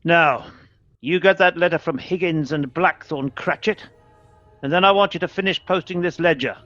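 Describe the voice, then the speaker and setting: A man's voice: fairly high pitch, normal speed, a bit bright, muffled recording, soft background music, natural voice. An actor playing a grumpy, miserly old businessman in a radio play, curt and scornful as he barks his words. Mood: curt, bossy. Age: older.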